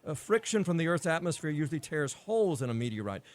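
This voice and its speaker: An actor playing a puzzled, analytical science professor in a radio drama, explaining things hesitantly. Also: male voice, fairly high pitch, sing-song, fast talker. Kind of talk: old-time radio drama acting.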